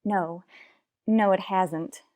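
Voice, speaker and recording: A woman acting as an unhappy wife and mother in a radio soap opera. Her voice is soft-edged and mellow at a medium pitch. She speaks earnestly and measures out her phrases with dramatic pauses, full of anguish. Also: fairly even intonation. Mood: heartsick, weary.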